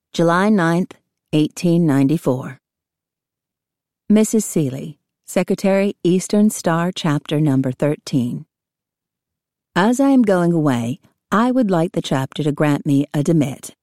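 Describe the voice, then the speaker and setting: A woman's voice: low voice, expressive, measured pace, pauses often, warm tone, dry recording, clean studio sound. A woman reading as a courteous pioneer letter writer, formal, precise and plain-spoken. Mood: courteous, composed. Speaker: audiobook reader of letters. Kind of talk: scripted reading of historical letters.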